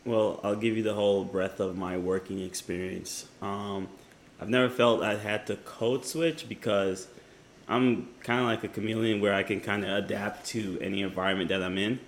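Man, somewhat low voice, podcast co-host, hesitant, lots of fillers, casual chat. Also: moderate pace, slight background noise. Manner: hesitant, lots of uh and like, animated when teased.

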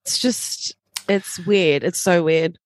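A young woman with a slightly raspy voice, chatting casually as a podcast co-host. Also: on the low side, no echo. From Australia. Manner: chatty, quick to laugh. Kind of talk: casual chat.

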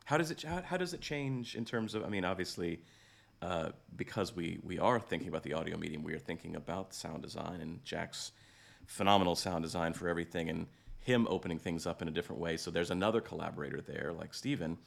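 A man's voice: low-pitched, fast talker, gravelly. A man hosting a conversational interview, thoughtful and reflective, repeating and rephrasing as he goes. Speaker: podcast host, interviewer.

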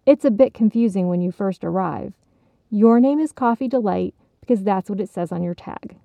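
A woman voicing a gentle, welcoming male pretzel gift basket in a story, soothing and patient. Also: medium pitch, animated, a little slow, creaky, no echo.

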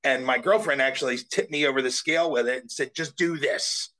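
A man's voice: mid-range voice, brisk, sharp tone. A man from South Jersey, a voice actor guest, chatting humbly and rambling with restarts in an interview. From South Jersey, USA. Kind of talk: casual interview chat.

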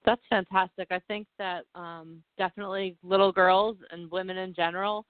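A woman with a Philadelphian accent interviews a guest in a chatty, encouraging way, often reaching for "like" and "um". Her voice sits at a middle pitch. It sounds dry and close, squeezed into a narrow phone-like band. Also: steady tone, moderate pace, no echo. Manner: encouraging, chatty, many fillers. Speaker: podcast interviewer. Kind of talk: casual interview.

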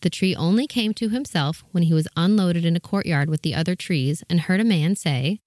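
A woman's voice: fairly low pitch, a little fast, a little mellow, no room sound, clean studio sound. A woman reading scripted storybook narration as the narrator of a fairy tale.

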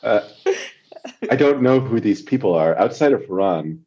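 A man's voice: fairly low pitch, expressive, unhurried, warm tone, slightly rough, lo-fi sound. A man reading and talking as a guest story reader, in narration and casual discussion.

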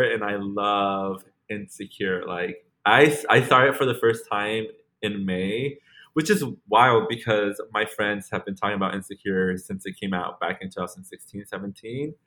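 A man's voice: fairly low pitch, a bit bright. A man in his late twenties co-hosting a podcast, chatting casually and rambling with lots of fillers and restarts. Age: 20s. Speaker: podcast co-host. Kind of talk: casual chat.

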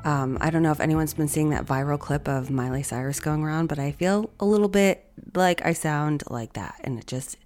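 A woman in her thirties hosting a solo podcast, her voice raspy and hoarse, talking in a reflective, encouraging way straight to her listeners. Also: low voice, normal speed, few pauses, a little mellow. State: hoarse from allergies or illness. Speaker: podcast host.

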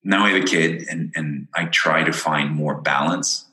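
Guest dude with a super deep but bright voice, keeps restarting, sounds kinda echoey. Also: male voice, normal speed. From born in Ireland, raised in Ontario, Canada. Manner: earnest, enthusiastic, with many restarts and repeated words. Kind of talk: casual interview chat.

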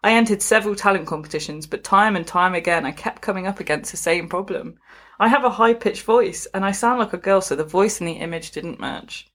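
A woman's voice: mid-range voice, fairly quick, a bit bright. A woman reading a story aloud in a casual, amused way, giggly and breaking off to comment like a podcast host.

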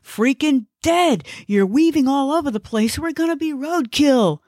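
Give this a frazzled, overworked underling acted by a woman, pitched high with exasperation. The character scolds and frets in a comic audiobook style. The sound is close and dry. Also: animated, slow, darkish tone, dry recording. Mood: panicked. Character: panicky, exasperated passenger, overworked underling and narrator.

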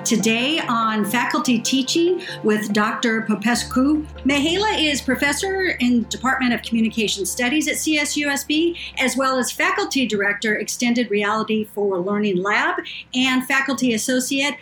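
A woman's voice: on the high side, normal speed, fairly bright tone. A woman podcast host reading a polished welcome and introducing her guest. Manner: reads a polished welcome script. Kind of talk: scripted podcast intro and interview. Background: music.

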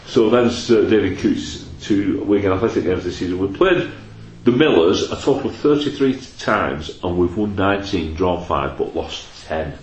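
A man's voice: sits low, animated, normal speed, few pauses, highs cut off. A man hosting a casual football chat, rattling off stats and tag questions. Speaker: podcast host. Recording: echoey room, faint noise.

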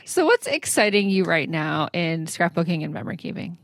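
A woman's voice: lowish voice, very animated, fairly quick, no room sound, studio quality. A woman hosting a podcast, reading a polished intro and then asking interview questions in an upbeat way. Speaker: podcast host.

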